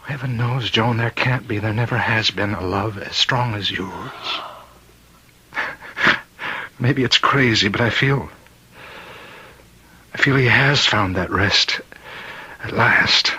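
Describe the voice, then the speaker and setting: A tormented warden in a radio thriller, gripped by murderous obsession. The voice is hard-edged and very tense, with a rough husk to it. He speaks with menace and anguish, as if barely holding himself together. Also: on the low side, slow pace, pauses often, bright, forceful tone, exaggerated voice, low-quality recording. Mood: tender, shaken. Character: tormented prison warden possessed by a killer's urge. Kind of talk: radio drama acting.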